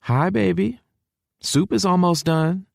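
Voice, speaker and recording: Cheerful Southern Texan mom, affectionate and fussing, pitched well up, played by a male narrator. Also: takes it slowly, gravelly, no room sound, clean studio sound, heavily acted. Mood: doting. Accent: Southern US (Texas). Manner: affectionate, doting. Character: warm motherly Texan woman, cheerful.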